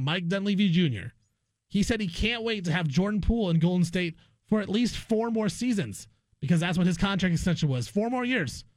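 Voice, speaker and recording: A man's voice: high voice, no room sound. A man hosting a sports talk show, delivering an emphatic, argumentative opinion monologue and repeating phrases for effect. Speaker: sports radio host.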